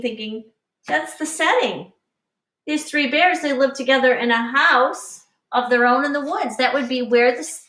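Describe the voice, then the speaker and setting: A woman teacher reading a picture book aloud to young children in an expressive, instructive way, pausing to explain and addressing them directly. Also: sits high. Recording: roomy, echoing, distant microphone.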